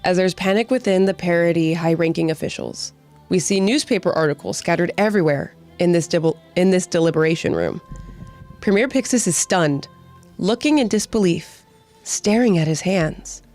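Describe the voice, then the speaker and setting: A woman's voice: on the low side, moderate pace, a little mellow. A woman with a slightly nasal voice, co-hosting and narrating on a podcast. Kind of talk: casual chat and scripted narration. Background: background music.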